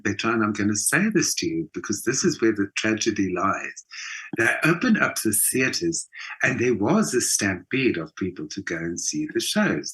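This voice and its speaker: South African man, raspy edge, bright tone, emphatic, conversational, interview guest. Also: on the low side, rough recording. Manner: emphatic, repeats phrases for stress. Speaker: interview guest, theatre performer and mentor.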